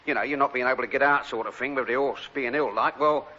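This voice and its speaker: A kindly, awkward London scrap man talks fast in a very bright, cutting voice. It is sitcom acting on dull, lo-fi sound. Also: medium pitch. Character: awkward, kindly rag-and-bone trade neighbour.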